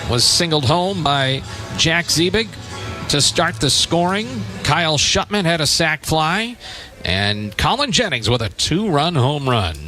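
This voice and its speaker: A man works as a radio baseball announcer with a bright, forceful voice sitting a little high. He calls the action in clipped, descriptive phrases, with faint music behind him. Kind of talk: live baseball play-by-play commentary. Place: open-air stadium press perch.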